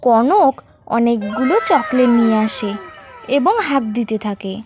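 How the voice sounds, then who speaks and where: A woman's voice: a little high, expressive, measured pace, music in the background. A woman giving scripted narration for a children's cartoon story.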